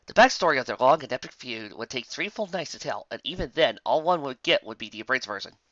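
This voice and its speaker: A man reading a story as a scripted narrator, with dramatic, expressive delivery on a muffled, dry home recording. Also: medium pitch, fast, no echo. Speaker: fan fiction narrator.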